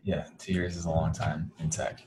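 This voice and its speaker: Low voiced guy hosting a chat, easygoing questions, lots of mm-hmms. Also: moderate pace, slight background noise. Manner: relaxed, brief acknowledgements. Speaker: podcast host. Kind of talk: casual interview hosting.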